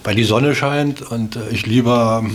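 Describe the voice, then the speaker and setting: A man's voice: medium pitch, fairly even intonation, measured pace. A man talking casually and reflectively about himself, hesitantly thinking as he speaks. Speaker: interviewee. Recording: clear background noise, ambience.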